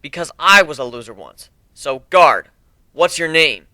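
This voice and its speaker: A cocky griffin pirate captain, acted by a man, with lively intonation and a bright edge. He is jaded, sardonic and used to giving orders in a fantasy audio story. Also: on the high side, unhurried, dry recording, heavily acted. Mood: stern, jaded. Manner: lazy, sardonic, commanding.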